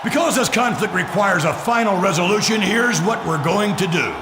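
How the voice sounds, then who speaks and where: A man playing a bombastic older wrestling company boss, speaking forcefully like a showman in a video game cutscene. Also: sits high, lively intonation, moderate pace. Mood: commanding. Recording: echoey arena with clear background noise.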